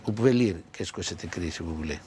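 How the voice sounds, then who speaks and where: Guy, lowish voice, easygoing, talking on a boat, bit of background hum. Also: fast talker. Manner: relaxed, expansive, with slight slips. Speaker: interviewee, businessman.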